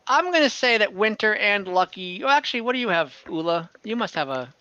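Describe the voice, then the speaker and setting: A woman narrating an online tabletop game as game master, informal and halting, often rephrasing as she goes. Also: medium pitch, lively intonation, pushed and bright, lo-fi sound. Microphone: online group call.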